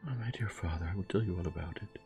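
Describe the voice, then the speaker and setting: A man's voice: sits low, fast, creaky, over music. A man voicing a spoiled, fickle young princess in a fairy tale, with a light, airy delivery. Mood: anxious.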